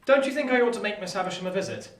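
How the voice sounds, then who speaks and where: An actor playing an earnest, polite young English apprentice in a period radio drama. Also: male voice, sits high, big pitch swings, very fast, distant mic. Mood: earnest, pleading. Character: earnest, polite youth, apprentice in Victorian England. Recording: roomy, off the mic.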